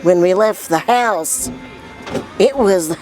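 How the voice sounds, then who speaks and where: A woman's voice: mid-range voice, slow, darkish tone, soft background music. A woman in her twenties hosting a casual vlog, speaking in an informal, slangy, exclamatory way. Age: 20s. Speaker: vlog host.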